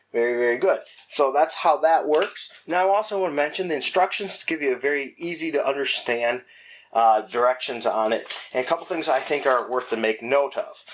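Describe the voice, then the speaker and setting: A man hosting a casual product review, talking chattily and often correcting himself mid-sentence. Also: medium pitch. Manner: chatty, frequent self-corrections and restarts.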